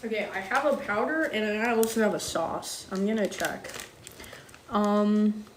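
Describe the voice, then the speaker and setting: A young woman streaming live while she cooks, chatting casually and reading out viewer comments. She speaks international-school English with slight German touches and fills her talk with ums and quick apologies. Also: mid-range voice, a little hiss or hum, rough recording. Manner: chatty, self-deprecating, frequent ums and apologies.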